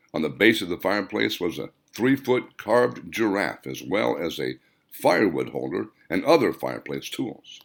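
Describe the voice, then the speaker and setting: A man's voice: fairly low pitch, creaky. A man reading his own novel aloud as scripted audiobook narration.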